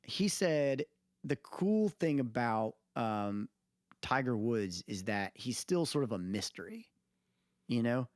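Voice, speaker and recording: A man's voice: mid-range voice, very animated, slow pace, a little mellow, no room sound, clean studio sound. A man co-hosting a podcast in casual conversation, emphatic and repeating phrases to drive points home. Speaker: podcast co-host. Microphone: close mic on a remote recording call.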